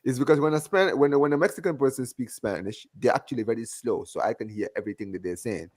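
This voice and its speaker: A man's voice: medium pitch, fast talker. A man with a strong Gambian English accent, hosting a casual call-in chat and often repeating himself. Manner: animated, repeats phrases, often asks "you understand what I'm saying?". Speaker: host of a fan call-in show.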